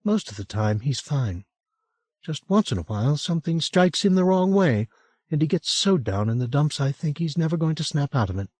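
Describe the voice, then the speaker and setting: Chatty middle-aged woman, warm and teasing, lilting delivery with lively rises; a male narrator puts her on, dry close sound. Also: fairly high pitch, moderate pace, dry recording, voice pushed up. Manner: lilting and chatty, drops to a hush. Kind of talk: audiobook character voice.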